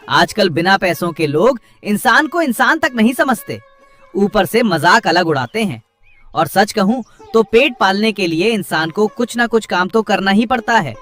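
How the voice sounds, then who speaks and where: A humble boatman from a cartoon tale, acted by a man, speaks in a high, very lively voice. He is hard-working and kind, and lectures plainly. Soft music plays behind him. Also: normal speed. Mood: admonishing. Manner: plain-spoken, admonishing. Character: kind, hard-working village boatman, grumbling but humane. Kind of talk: dramatised cartoon story dialogue.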